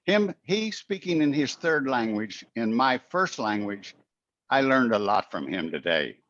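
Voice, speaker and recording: A man's voice: medium pitch, very animated, unhurried, a bit bright, lo-fi sound. A man on a video call giving an earnest, grateful comment. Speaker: audience member.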